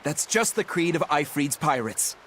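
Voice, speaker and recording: A fiery, loyal young pirate in a video game. His voice is high and very animated, full of defiant passion, with faint background noise. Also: moderate pace. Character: hot-headed, loyal young male pirate crewman. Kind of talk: English video game voice acting.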